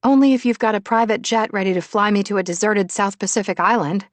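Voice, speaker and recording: A woman's voice: mid-range voice, fairly even intonation, fast, no room sound, lightly acted. A woman voicing a frazzled, self-deprecating thirty-year-old woman in audiobook dialogue, feisty and emotional. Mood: wry, weary.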